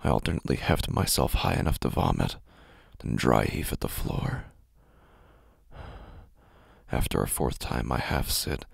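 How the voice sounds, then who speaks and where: A man with a gravelly, husky voice, narrating first-person horror in a brooding, deliberate, intense manner, close on the microphone. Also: rumbling low, no echo. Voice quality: gravelly, husky, breathy at times.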